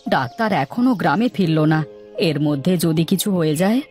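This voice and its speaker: A man's voice: on the high side, expressive, a little fast, a little mellow, soft background music, close to an everyday voice. A man playing a devoted, anxious village father and husband in a cartoon story, speaking in a fond, earnest, fretful way. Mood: worried.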